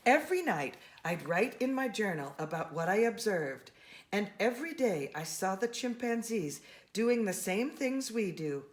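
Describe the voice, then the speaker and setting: A woman reading a children's picture book aloud, expressively and with deliberate emphasis on key words. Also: mid-range voice. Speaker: storybook reader.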